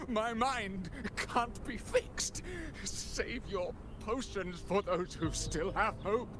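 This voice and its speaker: A man's voice: high voice, slow, noisy background. An actor playing a corrupted, despairing man begging for death in a dramatic video game scene, formal and pleading. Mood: anguished pleading. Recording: loud game ambience behind. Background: loud ambient game sound.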